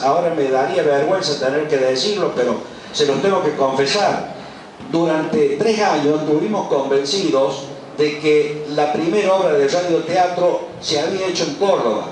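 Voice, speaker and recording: A middle-aged or older Argentine man, an author and professor, giving an informal public speech at a book presentation, with an emphatic manner and rhetorical questions. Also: fairly high pitch. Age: middle-aged or older, over 50. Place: large colonial patio at a public event. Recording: echoey, distant, noisy live event recording.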